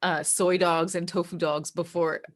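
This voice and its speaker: Low-voiced American woman, chatting informally. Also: flat delivery, a little slow. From New Jersey, United States. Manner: informal, peppered with "like" and emphatic repeats. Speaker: interview guest, actor. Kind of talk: casual chat, personal storytelling.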